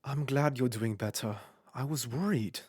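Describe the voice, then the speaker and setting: A woman voicing a lonely, caring creature who was once human, earnest and gentle, in a comic dub. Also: extremely low pitch, moderate pace, breathy edge, no room sound, studio quality. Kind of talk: animated comic dub acting.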